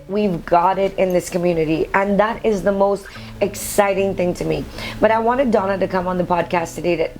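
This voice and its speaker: A woman hosting a casual interview podcast, speaking in a playful, teasing, expressive way. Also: mid-range voice. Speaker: podcast host; preacher.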